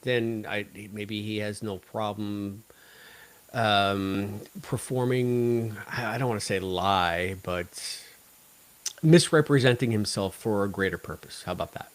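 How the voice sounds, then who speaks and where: A man's voice: fairly low pitch, measured pace, low-quality recording. A man hosting a podcast in a casual, talkative style, discussing and reviewing drama while freely giving his opinions. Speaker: podcast host.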